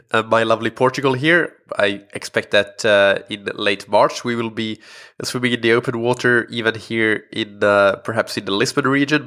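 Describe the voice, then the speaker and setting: A man's voice: mid-range voice, fairly bright tone. A young man hosting a podcast, congested from a recent illness, chatting casually with many fillers and restarts. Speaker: podcast host. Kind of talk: casual solo podcast talk.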